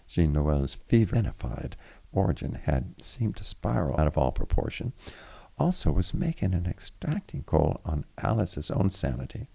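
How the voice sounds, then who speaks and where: A man reading literary fiction aloud in a formal, deliberate way, with the occasional stumble. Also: very deep voice, gravelly, mellow. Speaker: reader of a story chapter. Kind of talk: literary audiobook narration.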